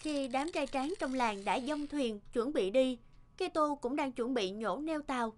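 A woman's voice: sits high, a little slow. A woman reading scripted fairy-tale narration as the narrator of a cartoon. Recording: music bed behind the voice. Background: background music.

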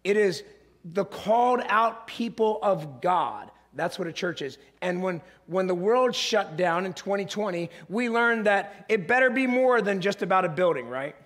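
A middle-aged male pastor with a gravelly, hoarse voice, preaching an animated sermon from a church stage. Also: sits high, normal speed. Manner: animated, gesturing while pacing the stage, rising to emphatic peaks. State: hoarse, voice strained. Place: large church stage with an audience.

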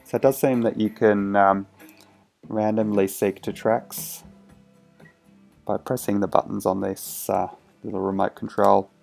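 Male hobbyist presenter, heavy vocal fry, mellow tone, casual demo narration, hesitant. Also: on the low side, little pitch movement, measured pace, pauses often, faint music behind.

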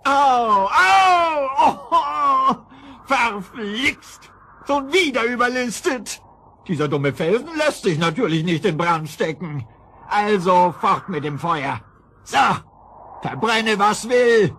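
An actor playing an old evil sorcerer in a children's radio play, blustering and vengeful, with theatrical exclamations and gloating. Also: male voice, sits high, expressive, pushed and bright, exaggerated voice. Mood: vexed. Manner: theatrical, exclaiming, drawn-out cackling. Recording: noisy vintage children's record.

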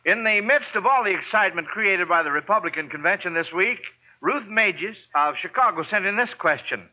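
A man hosting a 1940s radio quiz show in an encouraging manner. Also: fairly high pitch. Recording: muffled, noisy vintage radio recording.

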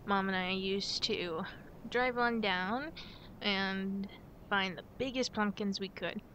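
A young woman playing a playful, affectionate girlfriend in a chatty ASMR roleplay, with gentle hesitant pauses. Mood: fond, chatty. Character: playful, affectionate girlfriend on a pumpkin-picking outing. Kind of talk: ASMR girlfriend roleplay, casual one-sided chat. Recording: rumbly background noise.

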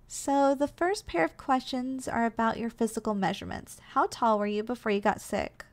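A woman playing a doting, gentle giantess secretary in an ASMR roleplay, speaking in a caring, softly teasing, slightly hesitant way. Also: a little high. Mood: curious, playful.

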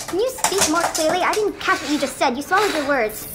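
A woman's voice: sits high, animated, fairly quick. A woman playing an anxious, meek, well-meaning male friend in a radio play, hesitant, stammering and pleading. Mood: earnest, disbelieving. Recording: noisy track with clicks.

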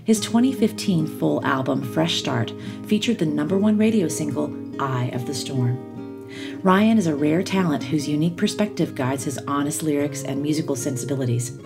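A woman hosting a podcast, reading a scripted guest introduction in a smooth, measured way. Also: low-pitched, lively intonation. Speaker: podcast host. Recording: guitar music underneath.

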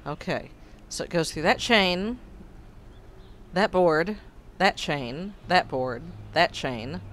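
A man hosting a let's play, hoarse and froggy after being sick, making wry, sarcastic asides over the game. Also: high voice, big pitch swings, extremely slow, pauses often, fairly bright tone. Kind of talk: casual game commentary. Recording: faint game music behind.

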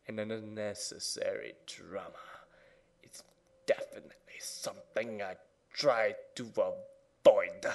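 A man giving a mock-dramatic reading, theatrical and overwrought, with long pauses and swings between hushed and loud delivery. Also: a little high.

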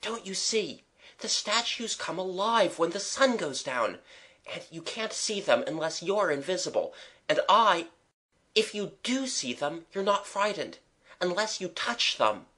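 A narrator voicing a bossy, excitable schoolboy who leads the others in a children's audiobook adventure. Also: medium pitch, measured pace, voice pushed up, heavily acted. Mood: urgent hushed explaining. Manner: urgent, excitable.

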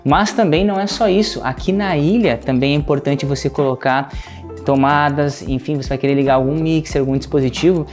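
A man's voice: a little high, a little fast, a little mellow. A man in his thirties hosting a home product video, explaining features fluently in a large modern kitchen. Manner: fluent, explanatory, many fillers like "enfim". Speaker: host. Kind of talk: presenting a product walkthrough. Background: faint music.

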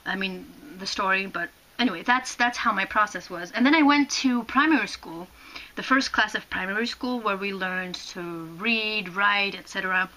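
A young Polish woman with a crisp, bright tone speaks English with a slight Canadian lilt. She chats casually into a camera from a small room, gesturing a lot and often repeating words as she restarts sentences. The voice sits at an ordinary pitch for a woman. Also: moderate pace, poor audio. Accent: Canadian-tinged English. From Poland. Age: young adult. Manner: animated, gesturing, frequent restarts and laughs. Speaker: vlogger. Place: small room indoors, sitting on a bed.

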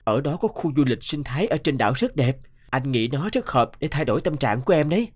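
A man's voice: medium pitch, sing-song, quick pace, no echo, studio quality. An actor playing an earnest, considerate man in a moral cartoon drama. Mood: gently reassuring. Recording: music under the voice.

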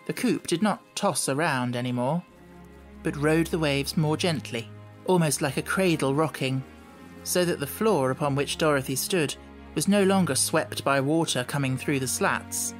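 A man's voice: on the high side, normal speed, faint music behind. Man narrating a children's storybook. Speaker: audiobook narrator.

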